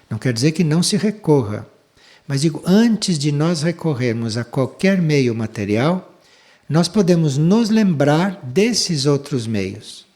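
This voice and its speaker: An older man giving a slow, measured spiritual lecture, pausing often between phrases. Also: a little high, a little mellow. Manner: slow, measured, with long pauses. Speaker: spiritual teacher giving a talk.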